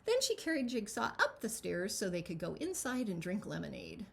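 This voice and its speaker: A woman reading a picture book aloud as its author, with expressive, dramatic storytelling. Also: medium pitch, normal speed, gravelly. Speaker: children's author reading their own book.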